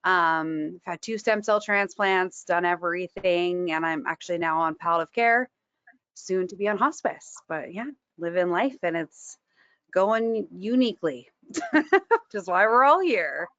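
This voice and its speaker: A woman's voice: on the low side, a little slow, a bit bright, dry recording, highs cut off. A woman in her thirties from Washington State, talking frankly on a panel and laughing easily between fillers. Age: 30s. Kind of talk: candid panel talk.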